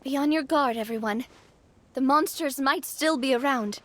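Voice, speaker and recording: A woman's voice: high-pitched, moderate pace, slight background noise, lightly acted. A woman playing an earnest, spirited young female monster hunter in an anime-style video game. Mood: wary.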